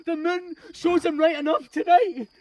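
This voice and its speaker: A man's voice: very high voice, flat delivery, moderate pace, darkish tone, slight background noise. A man playing a frightened, agitated young soldier lad in an audio drama. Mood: panicked.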